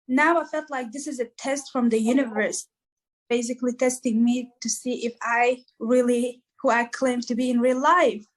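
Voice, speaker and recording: A woman's voice: fairly high pitch, poor audio. A woman from East Africa, a musician guest, answering interview questions in an earnest, motivational way with lots of "like" fillers.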